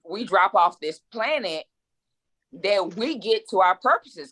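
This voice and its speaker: A woman's voice: medium pitch, fairly bright tone. Reflective woman in her forties. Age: 40s. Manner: reflective, emphatic, repeats words when thinking. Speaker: guest author. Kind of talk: casual interview.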